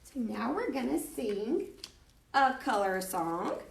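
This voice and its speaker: A woman's voice: a little high, very animated, slow, creaky, a little hiss or hum. A woman leading a children's storytime, giving simple singsong instructions to young children. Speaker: children's library storytime host. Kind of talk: children's singalong and rhymes with instructions. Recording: roomy echo, distant microphone.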